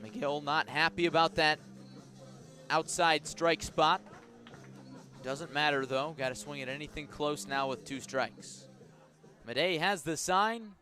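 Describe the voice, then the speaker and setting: Man, radio baseball announcer, bright forceful voice, slightly high pitch, clipped descriptive calls, live commentary. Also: a little slow, pauses often, soft background music.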